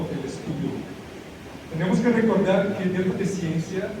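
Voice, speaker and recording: Male professor lecturing in an echoey hall. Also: high-pitched. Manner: conversational, checks in with listeners. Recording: echoey hall, distant mic, noisy.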